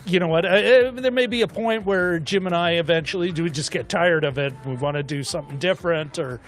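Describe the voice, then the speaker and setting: A man co-hosting a film discussion show, chatting casually in a rambling, animated way as he thinks aloud. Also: fairly high pitch, a little fast. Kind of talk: casual chat.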